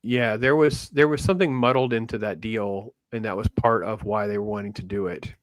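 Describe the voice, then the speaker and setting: A man's voice: fairly low pitch, fairly even intonation, brisk, dry recording. Informal middle-aged man explaining in casual chat. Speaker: panel guest.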